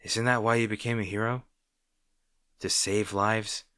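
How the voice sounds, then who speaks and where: A man's voice: fairly low pitch, steady tone, unhurried, bright, forceful tone, clear, resonant tone, dry recording, studio quality. A man playing an arrogant but secretly caring supervillain rival, bantering with the listener in an audio roleplay. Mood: teasing, reassuring. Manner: teasing, bantering, grudgingly sincere.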